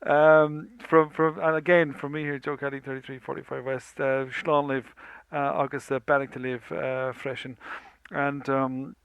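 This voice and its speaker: A man's voice: mid-range voice, flat delivery, moderate pace, fairly bright tone, clear, resonant tone, dry recording. A man signing off his radio show in casual, rambling chat, full of fillers and restarts. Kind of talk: casual sign-off chat between tracks.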